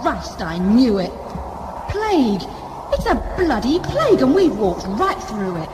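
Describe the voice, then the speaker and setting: A woman's voice: fairly high pitch, wildly swooping pitch, a little slow, noisy background. A woman acting in an audio drama as a sarcastic, combative female convict on a scavenger crew. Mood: alarmed, angry. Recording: echoey studio drama with music underneath.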